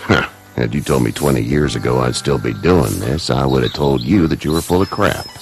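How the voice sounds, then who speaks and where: A shrewd old farmer from a video game. His voice is extremely deep and gravelly, and he speaks bluntly like a stern father, over music. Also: fairly quick. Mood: reflective. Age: older.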